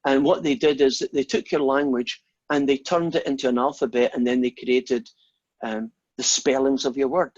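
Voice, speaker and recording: A Scottish man gives an informal maths lecture and wanders happily off topic into long, self-deprecating stories. His voice is husky and a little rough at the edges. The recording sounds muffled and dull, without any crisp highs. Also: fairly even intonation, normal speed, darkish tone, no echo. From Scotland. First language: Scots. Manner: rambling, anecdotal, self-deprecating.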